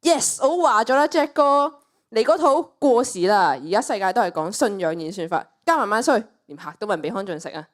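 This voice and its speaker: A woman's voice: mid-range voice, expressive, brisk, lightly acted. A teenage girl with a Cantonese accent playing a brash, flippant young woman in a radio play, scoffing and cocky. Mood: triumphant. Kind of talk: student radio play acting.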